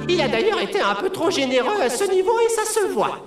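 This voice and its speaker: Brief: theatrical cartoon host, extremely high swooping voice, echoing over music. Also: female voice, sing-song, fairly quick. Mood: showy. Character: showy master of ceremonies at villains' gala. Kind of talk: French cartoon announcer acting.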